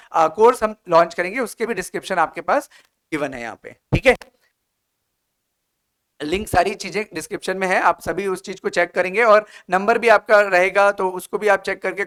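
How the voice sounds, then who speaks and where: A man's voice: high voice, fairly bright tone, clear, resonant tone. An Indian man teaching as an exam coach, explaining in an instructive way and often checking in with short tag questions. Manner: instructive, frequent check-in tags like "okay?" and "right?". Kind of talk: explanatory exam-prep lecture, Hindi mixed with English.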